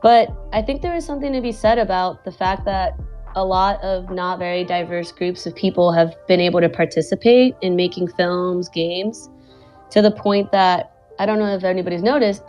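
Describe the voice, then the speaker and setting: A woman's voice: on the low side, fairly even intonation, normal speed, a little mellow, faint music behind. A woman from Venezuela, a guest animator and director, talking casually and rambling with lots of "like" and "you know". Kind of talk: casual chat in an online audio space.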